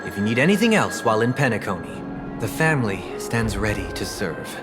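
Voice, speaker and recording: A man playing a courteous, composed leader of a powerful family in a video game, speaking formally and with measured grace. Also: lowish voice, very animated. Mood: polite, reassuring. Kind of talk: English video game voice acting. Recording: music behind the voice.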